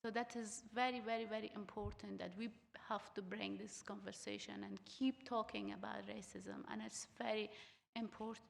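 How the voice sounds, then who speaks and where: A young woman from Afghanistan whose first language is Uzbek, speaking haltingly with many fillers as a students' union equity officer on a theatre panel. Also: medium pitch, level tone, creaky, big-room sound. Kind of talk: panel talk on stage. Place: theatre stage.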